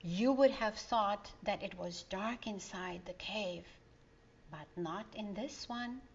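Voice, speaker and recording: A woman reading a children's story aloud in a gentle, expressive way. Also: medium pitch, takes it slowly, breathy edge. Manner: gentle, expressive, sing-song. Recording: dull lo-fi recording.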